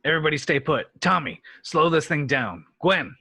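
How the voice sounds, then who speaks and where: A man playing a commanding, battle-weary male starship captain with a raspy voice in an amateur script read. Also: on the high side, lively intonation, normal speed, pushed and bright, heavily acted. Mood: urgent, commanding. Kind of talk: amateur table read over online call.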